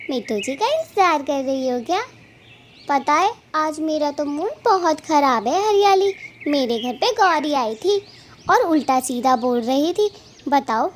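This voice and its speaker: Woman as little songbird heroine, kids' cartoon. Very high voice, sharp-tongued, stands her ground. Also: slight background noise. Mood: chatty, upset. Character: feisty defiant little female tailorbird. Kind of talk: children's cartoon story dialogue.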